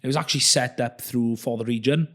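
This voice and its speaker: Man hosting a relaxed chat. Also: mid-range voice, fast. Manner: friendly, hesitant with frequent uh fillers. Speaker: podcast host. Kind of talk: casual interview chat.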